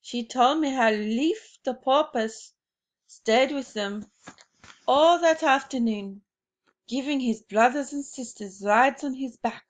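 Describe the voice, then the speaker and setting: A woman reading aloud as an old grandmother who tells tales with calm, serious conviction. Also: high voice, slow, voice pushed up. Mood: grave. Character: stern old grandmother, grave and matter-of-fact.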